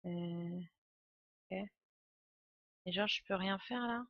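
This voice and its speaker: A woman's voice: fairly low pitch, takes it slowly, highs cut off, slight background noise. A woman doing casual live video game commentary, playful and joking, with slangy talk. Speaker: game streamer. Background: faint video game music and dialogue.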